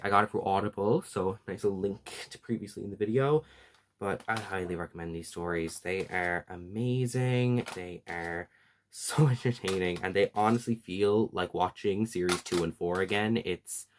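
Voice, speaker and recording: A man hosting a fan recommendation video, talking casually and enthusiastically to viewers with emphatic, gushing delivery. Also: fairly low pitch.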